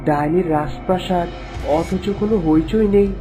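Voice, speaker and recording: A woman's voice: on the low side, normal speed, close to an everyday voice. A woman playing a young, loving, easily bewildered prince in a fairy-tale cartoon, earnest and questioning. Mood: puzzled. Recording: voice under clear background music.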